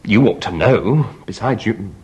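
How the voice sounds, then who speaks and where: An actor playing an anxious, flustered young English husband in a farce, well-bred, exclamatory and pleading. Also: male voice, lowish voice, animated, a little slow, dark tone, highs cut off. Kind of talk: vintage stage farce acting.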